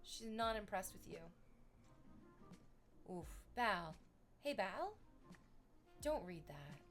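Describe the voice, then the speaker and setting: A woman's voice: mid-range voice, very animated, takes it slowly, soft background music. A woman chatting casually on a livestream, rambling to her viewers and cooing at her dog in baby talk.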